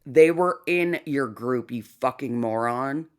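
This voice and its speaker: Low voiced woman ranting sarcastically, swears a lot, blunt. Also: slow pace, clear, resonant tone. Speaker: commentary YouTuber. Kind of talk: reaction commentary.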